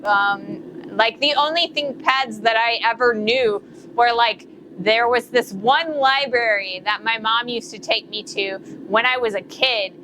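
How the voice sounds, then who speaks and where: A woman's voice: on the high side, a little slow, noisy background. A woman in her late thirties chatting casually on a live stream while forging, rambling with many fillers and self-corrections. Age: 30s. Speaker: blacksmith streamer. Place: forge workshop.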